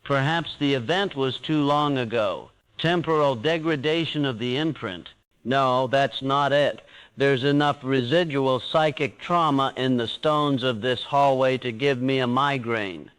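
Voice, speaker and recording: A man's voice: mid-range voice, a little slow, fairly bright tone, clear, resonant tone, lightly acted, poor audio. A man voicing a determined female psychic field agent in a horror story, terse and thinking aloud. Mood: focused, probing. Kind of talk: acted horror story dialogue.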